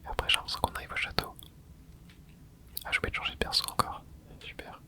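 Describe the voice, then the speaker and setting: An ASMR gaming host whispering a running commentary while playing, muttering quiet reactions into a close microphone. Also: quick pace.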